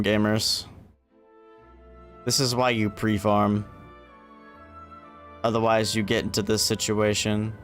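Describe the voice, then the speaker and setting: Low-voiced man streaming a game. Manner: thinking aloud, self-questioning. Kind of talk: casual livestream gameplay commentary.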